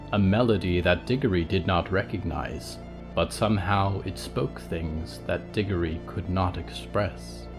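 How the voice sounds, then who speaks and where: A man narrating literary horror fiction in a solemn, melancholic storytelling manner. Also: deep voice. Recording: music under the voice. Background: instrumental music.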